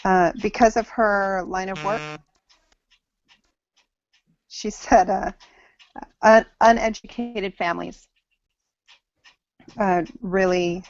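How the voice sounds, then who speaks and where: A woman in her thirties from Samoa and Hawaii, presenting to an online class in a halting way with frequent pauses, heard over a video call. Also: medium pitch, steady tone. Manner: halting, pausing often mid-sentence. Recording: dull lo-fi video call audio.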